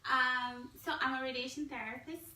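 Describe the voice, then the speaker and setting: A woman's voice: high-pitched, fairly even intonation, normal speed, sharp tone, slight background noise. A young woman from Brazil who answers briefly and plainly. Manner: brief, understated. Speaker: radiation therapist. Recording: echoey crowded room, distant mic.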